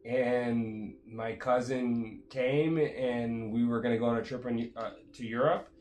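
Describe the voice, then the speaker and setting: A man's voice: medium pitch, fairly even intonation, measured pace, darkish tone, roomy sound. A man whose first language is Jamaican Patois, chatting casually and telling a rambling story with lots of fillers. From United States. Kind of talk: casual chat.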